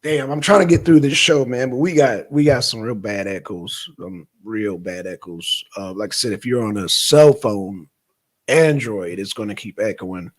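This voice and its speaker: A man hosting a live stream in an informal, halting, casual chat style. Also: fairly low pitch.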